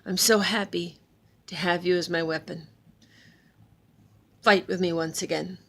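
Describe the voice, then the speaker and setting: Earnest male warrior god in a spoken myth, formal and gravelly, slow, voiced by a woman. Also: fairly low pitch, steady tone, fairly bright tone, full character voice. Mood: tender.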